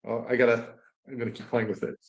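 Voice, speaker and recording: A man's voice: medium pitch, flat delivery, fast talker, lo-fi sound. A man casually sharing his thoughts as a participant on a group video call. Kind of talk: casual chat.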